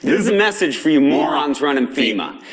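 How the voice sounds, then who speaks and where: An actor playing a defiant, paranoid underground broadcaster in a video game, ranting sarcastically and indignantly in colloquial American English with an American accent. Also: male voice, fairly high pitch, big pitch swings, a little fast. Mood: defiant, mocking. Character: defiant, paranoid pirate radio agitator, adult man. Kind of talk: impassioned pirate broadcast rant.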